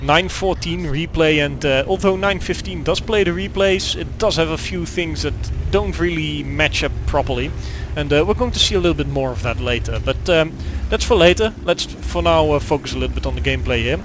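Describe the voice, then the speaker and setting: A young Dutch man talks through a tank game replay in English, stopping often for ums and uhs and restarting his sentences. His voice sits a little high for a man, and he speaks with a Dutch accent. A faint hiss of noise runs under the recording. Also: a little fast, few pauses. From Netherlands. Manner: hesitant, many fillers and restarts. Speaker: gaming commentator. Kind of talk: gameplay commentary.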